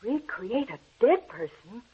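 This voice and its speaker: A woman's voice: on the high side, big pitch swings, unhurried, husky, full character voice. A woman playing an anxious, pleading scientist's wife in earnest, melodramatic 1940s radio style. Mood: pleading alarm. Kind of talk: 1940s radio drama acting. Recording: dull, lo-fi 1940s radio broadcast.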